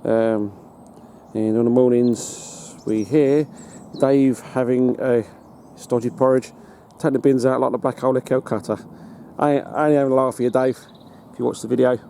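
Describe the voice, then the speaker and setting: British man, mellow voice, casual demo. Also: mid-range voice, steady tone, moderate pace, gravelly. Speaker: hobbyist presenter. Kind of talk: casual hands-on demonstration. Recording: noticeable background noise.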